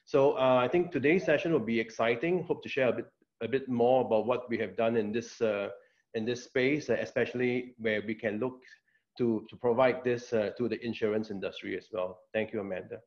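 A middle-aged man introducing himself as a tech company vice president on a panel, speaking in a measured way with many fillers and repeats over a video call. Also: mid-range voice, fairly even intonation, darkish tone. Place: indoor office. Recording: dull lo-fi video call audio.

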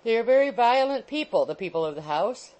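An anxious gentleman from a classic novel, acted by a woman reader, meek and apologetic. The voice swings up and down as he politely pleads and placates. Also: fairly high pitch, lively intonation, fairly quick, voice pushed up, lightly acted. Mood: anxious, placating.

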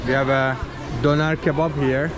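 A man's voice: medium pitch, level tone, moderate pace, music in the background, a crowd in the background. A man in his thirties from Azerbaijan, talking casually to a handheld camera while he walks through a busy outdoor street market. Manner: chatty, friendly, talks to camera while walking. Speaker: travel vlogger. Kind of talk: casual walking vlog narration. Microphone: handheld camera on a selfie stick at arm's length. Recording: noisy outdoor street recording.

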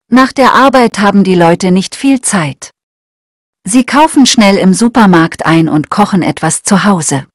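A woman reading short learner texts aloud in a slow, clear, scripted way. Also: medium pitch, dry recording, clean studio sound. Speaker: German lesson reader.

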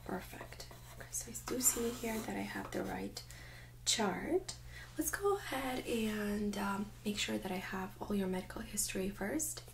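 A woman in her thirties speaking gently and very softly, often near a whisper, in an ASMR medical role-play as an examiner. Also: mid-range voice, measured pace, creaky. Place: small indoor room set up as exam office.

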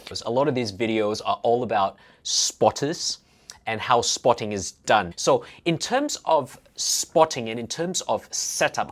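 A middle-aged man hosting a casual reaction video, explaining and giving coaching advice in an indoor office. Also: mid-range voice. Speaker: host, fitness coach.

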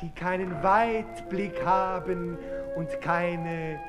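A man's voice: sits high, fairly even intonation, drawn-out words. An actor playing a florid, lovesick aristocratic man in a 1960s radio drama, pompous and theatrical. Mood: haughty, exalted. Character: florid lovesick aristocratic suitor, haughty and pleading. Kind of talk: 1960s German radio drama, musical declamation. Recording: reverberant old radio play with music.

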